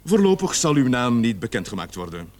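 A stern police sergeant in a radio play , acted by a man. He speaks formally and with authority, weighing his words, and his pitch swings widely as he questions and warns. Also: sing-song, darkish tone, natural voice. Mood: warning. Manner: authoritative, measured, formal interrogator. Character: stern police sergeant leading a murder investigation.

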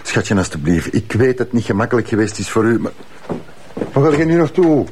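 An actor playing a nervy, pleading ex-convict with a Flemish accent, halting and anxious, in TV crime drama style, with a husky voice. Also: male voice, mid-range voice, very animated, a little mellow. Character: frightened, pleading ex-convict husband, nervy and defeated. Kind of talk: naturalistic TV crime drama acting.

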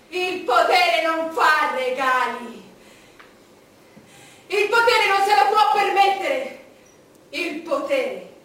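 A woman playing an imperious, grandiose queen in a declamatory theatrical monologue, defiant and full of dramatic pauses. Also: very high voice, a bit bright, exaggerated voice. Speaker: stage actress. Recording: echoey, distant stage recording with music.

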